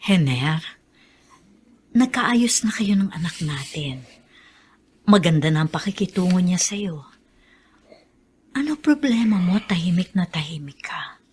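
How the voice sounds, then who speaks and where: An actress playing an anxious, concerned wife in a Filipino radio drama, stammering in hushed worry. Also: female voice, on the low side, expressive, a little slow, pauses often, slight background noise.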